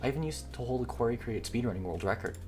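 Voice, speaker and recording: A man's voice: medium pitch, expressive, fast talker, a little mellow, soft background music, natural voice. Man voicing a playful, stubborn gamer fox girl. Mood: earnest.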